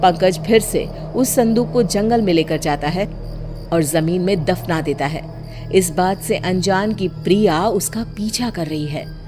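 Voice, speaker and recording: A woman's voice: fairly low pitch, unhurried. A woman narrator reading a scripted story. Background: music.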